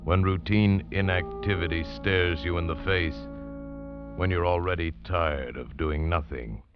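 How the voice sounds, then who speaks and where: A man intoning a dramatic radio promo, slow and theatrical. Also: deep voice. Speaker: radio announcer. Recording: dull 1940s broadcast with music behind.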